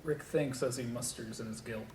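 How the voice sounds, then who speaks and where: A man's voice: steady tone, moderate pace, a little mellow. A man reading scripted stage directions aloud as narrator at a table read. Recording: echoey room with low rumble.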